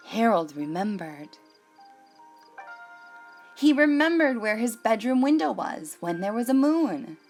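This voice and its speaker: A woman hosting a children's storytime, reading a picture book aloud expressively and addressing young listeners. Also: medium pitch.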